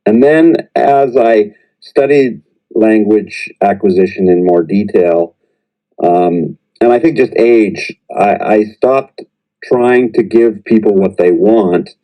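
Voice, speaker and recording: A middle-aged man with a very low, deep voice speaks as a guest on a podcast. He talks in a thoughtful, searching way, full of fillers and restarted phrases as he reflects on teaching. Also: slow pace, mellow, rough recording. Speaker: professor, English teacher in Japan. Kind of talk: conversational podcast interview.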